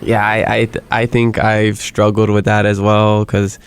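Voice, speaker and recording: Gravelly low-voiced man hosting. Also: completely flat delivery, measured pace. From Hawaii. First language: Hawaiian. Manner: earnest, frequent self-restarts and stammered repeats. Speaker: podcast host. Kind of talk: casual conversational interview.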